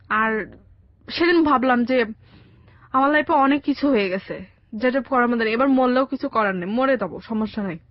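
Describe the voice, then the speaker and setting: A young woman with a Bangladeshi accent giving a halting, colloquial personal account as a radio interview guest. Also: fairly high pitch, no room sound, dull audio. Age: young adult. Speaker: interview guest telling her life story. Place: radio studio.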